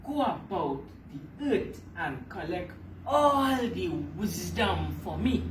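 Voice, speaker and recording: A woman voicing a quiet, commanding sky god in a storybook tale, hushed and solemn. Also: mid-range voice, echoey room, far from the mic, a little hiss or hum, poor audio.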